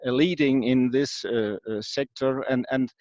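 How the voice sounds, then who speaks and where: A man from Denmark with a Danish accent, explaining as an expert interview guest in a halting, hesitant way with frequent ums and pauses. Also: mid-range voice, darkish tone, no room sound. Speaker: guest expert, marketing professor. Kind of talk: unscripted explanation in an online interview. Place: video call. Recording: dull lo-fi online call audio.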